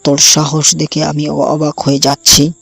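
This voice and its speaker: A man's voice: fairly high pitch, completely flat delivery, moderate pace, creaky, a bit bright, slightly rough, no echo, soft background music, exaggerated voice. A man playing a possessive, threatening young campus bully in an audio drama, speaking with commanding contempt. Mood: scornful, aggressive. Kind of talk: audio story acting.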